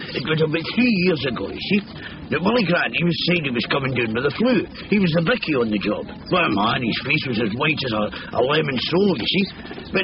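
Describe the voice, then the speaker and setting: Casting: jumpy, rambling Scottish labourer, lively higher-set voice, vintage radio drama. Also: male voice. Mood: rambling, aggrieved. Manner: rambling, nervy, colloquial. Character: chatty, jittery working-class labourer with a shady scheme. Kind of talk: 1970s British radio comedy-thriller acting. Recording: muffled, noisy old radio broadcast.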